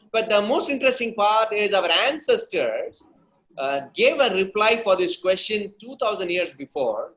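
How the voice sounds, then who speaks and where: Male storytelling coach, Indian accent, somewhat high voice, explains emphatically and repeats himself for stress in relaxed interview talk. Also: rough recording. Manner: emphatic teaching, repeats phrases, checks with "okay" and "right". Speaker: guest speaker, storytelling coach. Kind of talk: conversational interview answers with anecdotes.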